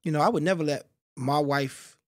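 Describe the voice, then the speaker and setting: A middle-aged man talking as a podcast guest in a studio, in a measured, reflective storytelling way. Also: fairly high pitch, dry recording. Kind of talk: reflective interview talk. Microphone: studio mic on boom.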